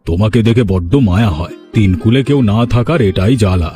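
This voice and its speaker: A man's voice: lowish voice, animated, quick pace, mellow, faint music behind, natural voice. A man voicing a concerned, coaxing village husband in a cartoon folk tale.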